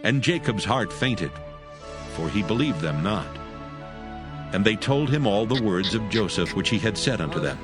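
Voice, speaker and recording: A man with a lowish voice reads scripture as a narrator. His delivery is formal storytelling, with music clearly playing underneath. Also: expressive, normal speed.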